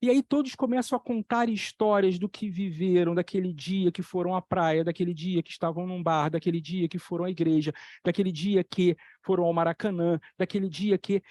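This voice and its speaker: Animated man explaining with examples. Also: sits high, brisk, hardly any pauses, dry recording. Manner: animated storytelling, acting out voices in the examples; repeats key phrases for emphasis. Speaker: psychoanalyst lecturer. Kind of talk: explanatory talk on a video channel.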